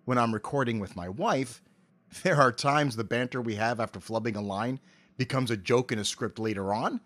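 A middle-aged man giving articulate, measured explanations as a voice actor being interviewed. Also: mid-range voice, fairly bright tone.

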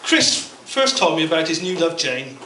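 High-pitched man giving a speech, echoey room. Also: very animated, moderate pace. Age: middle-aged. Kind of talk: prepared humorous wedding speech, read from notes to an audience. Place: indoor function room at a wedding reception. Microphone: handheld mic through a PA speaker, filmed from across the room. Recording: distant, echoey, very noisy room recording. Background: loud room noise and guests.

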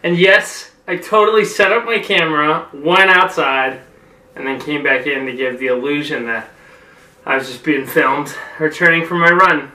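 A man's voice: a little high, normal speed, bright, forceful tone, recorded from a distance, low-quality recording. A young adult man talking casually as a vlogger, recorded with noise around him. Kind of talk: casual vlog chat.

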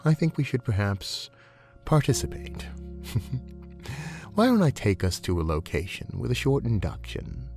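A man voicing a genteel, soothing, playfully predatory snake-creature counselor in a measured, eloquent roleplay audio. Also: mid-range voice, vocal fry, darkish tone, pitched above the performer's own voice, heavily acted. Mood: playful, sly.

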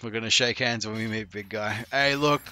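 Bright, sarcastic, swearing game coach. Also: male voice, fairly low pitch, steady tone, brisk. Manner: sarcastic, profane, joking. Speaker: game coach reviewing replays. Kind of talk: live-streamed replay commentary. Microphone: headset.

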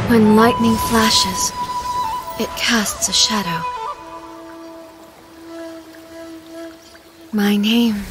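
A woman playing a solemn, imperious goddess obsessed with eternity in a video game, speaking in a formal, measured, stern way. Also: medium pitch, natural voice. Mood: solemn, sorrowful. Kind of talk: dramatic video game voice acting.

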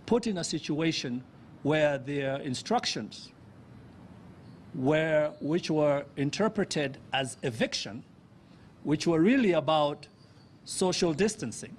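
A man with a somewhat high voice speaks at a press briefing, laying things out deliberately. Faint noise sits behind him. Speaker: government official. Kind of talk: press statement.

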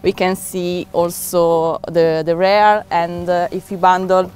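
A young woman, a boat dealer representative, explaining features in a halting, pausing way on a boat deck with a lapel mic. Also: on the low side, little pitch movement, a little mellow, faint music behind. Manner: halting, with many uh pauses and non-native phrasing. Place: outdoors on a catamaran deck by the sea.

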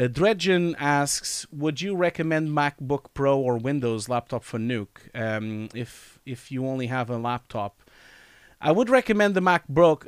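Man in his forties, sick with a cold, nasal and scratchy, smooth close voice, dry home studio mic, hesitant live Q&A host. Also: a little high, no room sound. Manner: reads chat questions aloud, hesitant with many fillers. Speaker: live stream host answering chat. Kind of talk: casual live Q&A. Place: home study with bookshelves, indoors. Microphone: studio mic on boom, wearing headphones.

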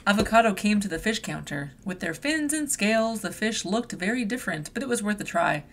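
Woman, playful read-aloud storyteller for kids, gravelly fry in the voice, slight noise behind. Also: medium pitch, brisk. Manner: expressive storytelling with chuckles and playful asides. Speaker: adult reader leading a children's read-aloud. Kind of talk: picture book read-aloud.

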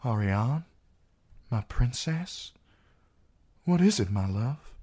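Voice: mid-range voice, animated, slow, dark tone, husky, voice pushed up. An audiobook narrator voicing a dutiful, troubled knight, a man with an earnest and formal way of talking. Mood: tender. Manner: earnest, formal courtly phrasing. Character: male sworn knight, dutiful, troubled, tender lover.

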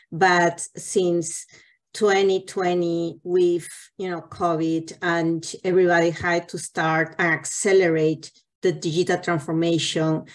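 A woman in her forties from Latin America, a marketing executive speaking on a virtual panel, halting and restarting her phrases. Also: on the low side. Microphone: video call.

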